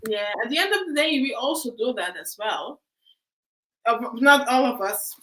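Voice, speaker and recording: A woman's voice: on the high side, lively intonation, moderate pace, a crowd in the background, rough recording. A woman host, chatting casually on a video call, often laughing and restarting her words. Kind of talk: casual live chat.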